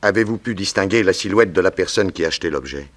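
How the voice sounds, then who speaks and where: A low-pitched male detective in a 1960s French radio serial. He is calm and measured, putting each point with courteous, probing precision. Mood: courteous, businesslike. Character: calm, methodical male detective questioning witnesses.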